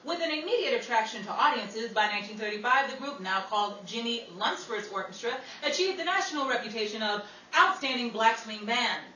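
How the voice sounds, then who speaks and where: A woman's voice: a little high, lively intonation, a little fast, hardly any pauses, bright, forceful tone. A woman presenting a scripted history segment like an old-time newsflash, with formal, theatrical phrasing. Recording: echoey, distant, faintly noisy.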